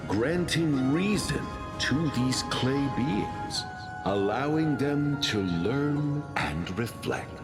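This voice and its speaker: A solemn storyteller of old legends in a video game. He speaks slowly and gravely, with wide dramatic swings in pitch. Every phrase is measured, and music plays clearly beneath him. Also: animated. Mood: solemn, reverent. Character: solemn male narrator of an ancient myth. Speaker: narrator. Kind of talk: solemn mythic storytelling narration. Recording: music under the voice.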